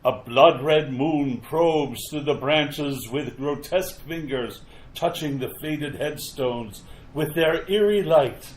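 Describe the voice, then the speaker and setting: A man acting as the deliberate, ominous narrator of a retro radio mystery, with dramatic delivery. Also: fairly high pitch. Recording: roomy echo, noticeable background noise.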